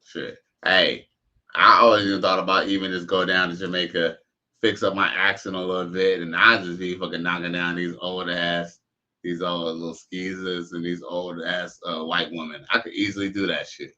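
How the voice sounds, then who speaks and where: Host type: lower-voiced, bright and edgy man, crude and joking, muffled lo-fi stream audio. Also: little pitch movement, moderate pace. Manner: crude, joking, loose slang. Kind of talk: casual reaction commentary.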